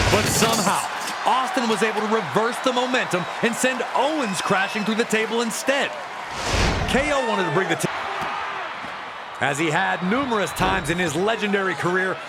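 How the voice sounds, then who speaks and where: A man voicing an excitable wrestling storyteller, narrating matches in a scripted, emphatic and dramatic way for a video game. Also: high voice. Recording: loud arena noise behind the voice.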